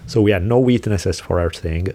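A man's voice: sits low, moderate pace, dark tone. A man with an Italian accent hosting a podcast, telling a story in a casual, laughing way. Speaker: podcast host.

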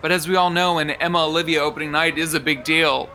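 An actor playing an effusive, arch theater gossip reporter in an audio drama, gushing like a red-carpet commentator. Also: male voice, on the high side, steady tone, fast talker, sharp tone, a little hiss or hum. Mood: nosy, insinuating. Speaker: entertainment reporter.

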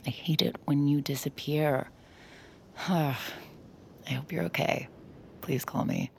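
A young adult mind-reading heroine, played by a woman in an audio drama, narrating her thoughts quietly and reflectively. Her voice is very low and gravelly, and at times she drops to a whisper. Faint noise sits behind her. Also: steady tone, slow pace, heavily acted. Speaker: mind-reading lead character.

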